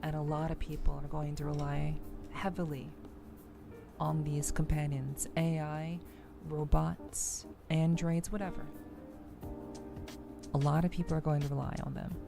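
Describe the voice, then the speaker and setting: Low-pitched woman, musing streamer. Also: little pitch movement, a little slow, gravelly. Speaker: streamer hosting a game playthrough. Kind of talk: reflective casual commentary to viewers. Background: music.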